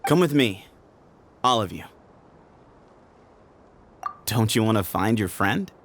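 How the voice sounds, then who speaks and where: A man's voice: fairly low pitch, sing-song, takes it slowly, a bit bright, a little hiss or hum, exaggerated voice. A man voicing a cocky, teasing young gang leader in a video game, breezy, sly and theatrical. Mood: casual, sly.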